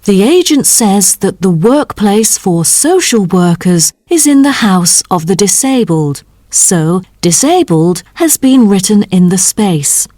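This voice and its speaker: A woman reading scripted listening test instructions as an announcer, in a measured, formal, instructional manner. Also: mid-range voice, very animated, mellow, dry recording, clean studio sound. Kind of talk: scripted exam narration.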